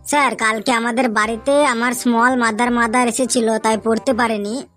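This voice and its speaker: Female actor playing little Bengali schoolgirl, highish voice, naive, earnest, comic cartoon, music behind. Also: fairly even intonation, normal speed, a bit bright, natural voice. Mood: earnest, innocent.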